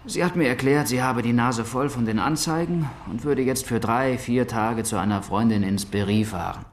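A man's voice: medium pitch, normal speed, lightly acted. An actor playing a dutiful policeman giving a plain, slightly colloquial surveillance report in a German radio crime drama. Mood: matter-of-fact.

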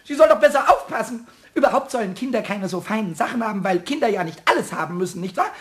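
A male actor playing a cheeky, childish kobold in a children's radio play, squeaky and excitable, evasive and fond of wordplay. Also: quick pace. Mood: defensive, evasive. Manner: wordplay, excitable, stubbornly repeating.

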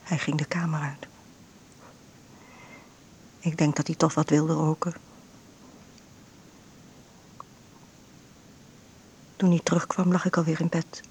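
Frail, breathy mother. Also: low voice, level tone, normal speed, darkish tone, exaggerated voice. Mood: tired, resigned. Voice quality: breathy, often dropping into whispers. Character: frail, ailing bedridden mother, weary and intense. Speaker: actress narrating in a radio play. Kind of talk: intimate first-person radio drama monologue.

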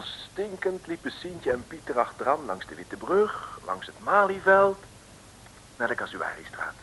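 A man's voice: on the low side, a bit bright, a little hiss or hum, poor audio. A man narrating a comic radio drama as a wry, theatrical scripted storyteller on an old recording.

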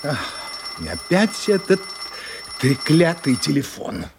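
Man acting a fretful, theatrical writer, swooping pitch. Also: very animated, slow, noisy background, heavily acted. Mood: irritated. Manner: theatrical, musing, flustered and hesitant when surprised. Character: fretful, self-dramatizing male English mystery writer talking to himself. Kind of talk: radio play acting. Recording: music behind the voice.

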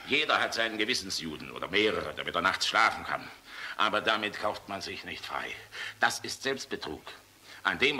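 An actor playing a defiant, bitter German general in a radio play, gruff, blunt and sardonic in manner. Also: male voice, lowish voice, sharp tone, exaggerated voice.

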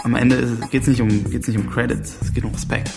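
A man speaking informally as a scene insider, halting and repeating words for emphasis. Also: mid-range voice, little pitch movement, vocal fry. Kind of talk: informal spoken testimony. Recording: loud music behind the voice.

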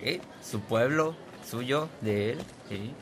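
Radio drama: dim toady, mid-range voice, halting, repetitive, comic. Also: male voice, mid-range voice, a bit bright, slight background noise. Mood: hesitant. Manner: stammering, repeats words. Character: dim, hesitant, echoing comic sidekick of a bully. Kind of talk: Spanish-language radio drama acting.

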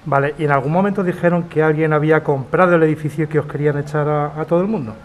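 A man's voice: fairly high pitch, steady tone, racing, highs cut off, slight background noise, close to an everyday voice. A man playing a supportive community radio reporter conducting an interview in a radio drama. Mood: engaged, supportive.

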